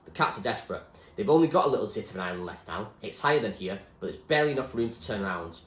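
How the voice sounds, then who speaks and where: A man voicing a calm, blunt and ruthless young gang leader in a storybook, with a commanding manner. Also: fairly low pitch, very fast, reverberant.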